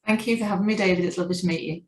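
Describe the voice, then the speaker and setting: A woman in her forties, not local to Northern Ireland, answering interview questions in a measured way with frequent restarts. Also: lowish voice, a little mellow, reverberant. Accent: non-local, unplaced. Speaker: guest, business organisation director.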